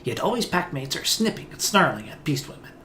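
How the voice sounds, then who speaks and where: A man's voice: a little high, big pitch swings, fairly quick, bright, forceful tone, a little hiss or hum. A man reading as a blunt feral beast woman. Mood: scornful. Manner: clipped speech, referring to herself in third person.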